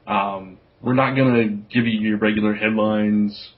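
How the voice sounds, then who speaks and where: A man's voice: fairly low pitch, steady tone, unhurried. A man hosting a podcast in a casual, loose chatting style. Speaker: podcast host. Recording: slightly dull lo-fi audio.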